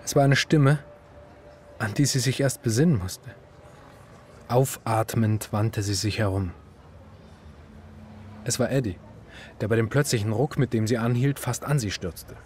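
An actor narrating a literary radio drama as a grave, measured male storyteller. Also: mid-range voice, a little mellow, a little hiss or hum, lightly acted. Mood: urgent. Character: male storyteller recounting a woman's fear, grave.

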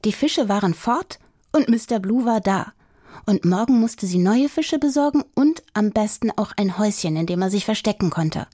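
A woman reading a children's story as an expressive audiobook narrator. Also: medium pitch, moderate pace, no room sound, clean studio sound.

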